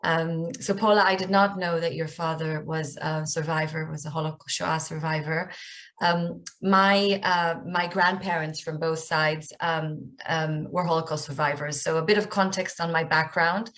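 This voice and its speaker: A low-voiced Israeli woman in her forties speaks over a video call. She tells a personal story candidly, with plenty of ums along the way, and her voice sits deep for a woman. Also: steady tone, normal speed, a bit bright. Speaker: guest speaker.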